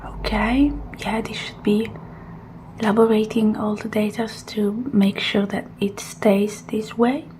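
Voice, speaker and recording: A woman doing an ASMR roleplay as a technician, speaking softly and gently in murmured reassurances and instructions, often slipping into a breathy whisper. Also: mid-range voice, flat delivery, a little slow, noisy background. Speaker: ASMR roleplayer playing a technician who examines a robot. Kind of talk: ASMR roleplay, soft personal attention.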